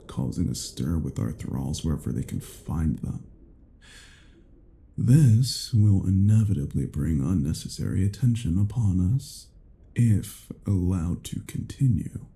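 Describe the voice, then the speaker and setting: A man's voice: fairly low pitch, creaky, warm tone, exaggerated voice. A man playing a cruel, domineering vampire in a roleplay audio drama, speaking in a menacing, condescending manner with formal, archaic phrasing. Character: cruel, domineering male vampire toying with captive werewolf.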